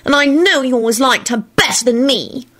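A woman voicing a spoiled, petulant young woman who gushes and whines in a breathy voice. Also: sits high, moderate pace, a bit bright, voice pushed up.

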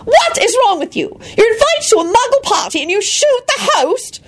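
A woman voicing an exasperated middle-aged mother who scolds in a shrill voice. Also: big pitch swings, moderate pace.

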